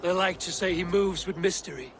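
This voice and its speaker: An actor playing a confident Viking warrior in a video game, dry, wry and self-assured in manner. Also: male voice, high-pitched, fairly quick, gravelly, music in the background. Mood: calm. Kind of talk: video game dramatic acting.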